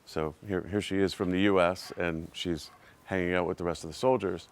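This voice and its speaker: A man's voice: sits low, steady tone, a little fast, clear, resonant tone. A man in his forties with an American accent, giving an unscripted, reflective and earnest personal account. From Massachusetts, United States. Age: 40s. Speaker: trip participant giving a testimonial.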